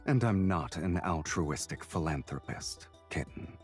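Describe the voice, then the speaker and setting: A man's voice: low voice, lively intonation, a little slow, slightly rough, soft background music, full character voice. A man voicing a smug, sarcastic crime lord in a romance video game, with a teasing drawl. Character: sardonic, smug crime lord with an eerie power. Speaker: game character. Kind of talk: English voice acting in a romance game.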